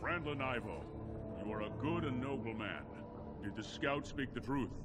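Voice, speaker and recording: A man playing a stern, quick-to-judge dwarven nobleman in a fantasy game. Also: mid-range voice, lively intonation, loud music behind the voice, lightly acted. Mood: stern, urgent. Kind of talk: dramatic fantasy game acting.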